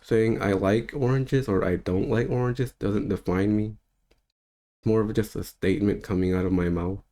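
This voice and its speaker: A man's voice: on the low side, fairly even intonation, normal speed, mellow. A man in his thirties, a spiritual teacher, talking in a loose, rambling monologue with easy laughs. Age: 30s. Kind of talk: casual unscripted monologue.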